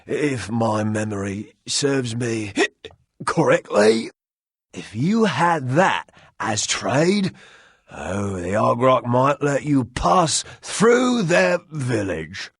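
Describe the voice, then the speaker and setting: An actor playing a drunken, heartbroken townsman in a video game, husky and self-pitying, rambling with slurred hesitations. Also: mid-range voice, big pitch swings, extremely slow, no echo, clean studio sound, exaggerated voice. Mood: amused, rambling. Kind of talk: video game character acting.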